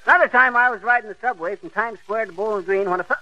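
An actor playing a cocky, folksy, blustering husband in an old radio comedy. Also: male voice, sits high, fast, highs cut off. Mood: boastful. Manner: folksy, boastful. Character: cocky, blustering small-town braggart husband. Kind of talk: 1940s radio comedy acting. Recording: noisy old radio recording.

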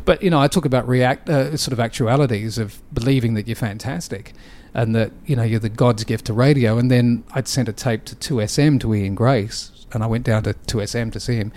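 An Australian man in his fifties sits in a radio studio, spinning animated, self-deprecating anecdotes about his early broadcasting days. His voice is mid-pitched and conversational, never showy. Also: a little fast. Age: 50s. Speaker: radio DJ guest. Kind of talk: casual interview storytelling.

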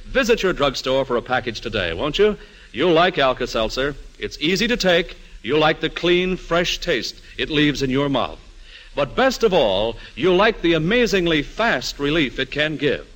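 An American man delivers an old-time radio advertisement with very lively, varied pitch. His voice sits a little high, and he persuades listeners directly. It sounds like a 1940s broadcast. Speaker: commercial announcer.

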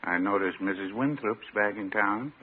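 An actor playing a droll, mild small-town American druggist in a classic radio comedy, talking in a dry, folksy way. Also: male voice, on the low side, moderate pace, lightly acted. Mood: dry teasing. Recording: tinny, noisy old radio recording.

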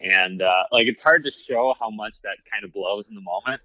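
A man's voice: on the low side, moderate pace, dry recording, muffled recording. A man guesting on a hunting podcast, talking in an easygoing, hesitant way with lots of ums and likes. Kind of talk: casual podcast conversation.

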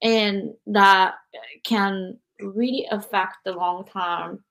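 A woman's voice: mid-range voice, fairly even intonation, slow pace, vocal fry, a bit bright, poor audio. A woman in her forties from Japan hosts an interview in a mid-range voice. She thinks aloud as she goes, leaning on "like" and "you know" as she shapes her questions and reflections. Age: 40s. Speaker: podcast host. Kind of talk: interview conversation.

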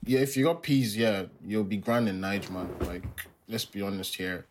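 A man's voice: fairly low pitch, normal speed. A young man, co-hosting a podcast, talking emphatically and swearing freely. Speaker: podcast co-host. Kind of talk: casual banter.

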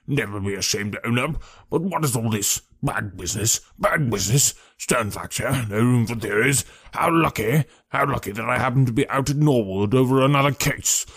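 A stout, blustering police detective from a storybook. His voice is muffled, husky and wheezy, pitched a little above the reader's own, in a dry, close recording. He is pompous and hearty, repeating his phrases with great self-satisfaction. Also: normal speed, few pauses, no room sound.

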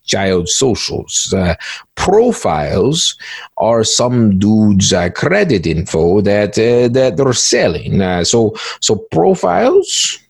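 A man's voice: fairly low pitch, vocal fry, darkish tone, breathy edge, no room sound, exaggerated voice. A man playing a cagey, smug cybercriminal in a mock interview, stammering and repeating words with a pompous air. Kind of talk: one-act play interview.

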